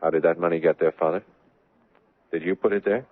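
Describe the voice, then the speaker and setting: Husky, anguished young bridegroom. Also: male voice, deep voice, steady tone, no room sound. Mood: insistent. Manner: halting, intense, emphatic. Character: anguished young bridegroom, agitated son of a stern father. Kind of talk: 1970s radio drama acting. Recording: dry lo-fi old radio broadcast.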